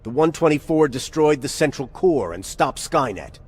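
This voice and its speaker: An actor playing a solemn, resolute resistance commander in a video game, speaking in a deliberate, measured way. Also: male voice, a little high, big pitch swings, husky. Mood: grave. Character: grave, resolute male resistance commander in a future war. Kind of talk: dramatic video game acting. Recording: game dialogue over loud music.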